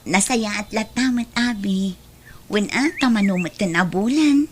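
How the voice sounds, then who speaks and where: A woman's voice: mid-range voice, a little slow, slight background noise, natural voice. A woman playing an anxious, meek young daughter in an audio drama, speaking plaintively. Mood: subdued.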